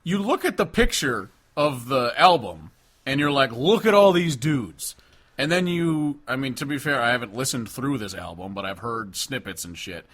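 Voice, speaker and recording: A man with a medium-pitched voice speaks as a podcast co-host in loose, casual conversation. His manner is blunt and disbelieving, with frequent profanity. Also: expressive, normal speed, fairly bright tone. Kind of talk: casual music discussion.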